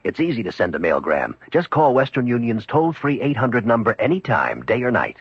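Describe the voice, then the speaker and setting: A man's voice: mid-range voice, huge pitch swings, fairly quick, breathy edge, dry recording. A man reading a scripted 1970s radio commercial as an announcer. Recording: muffled radio recording, faint noise.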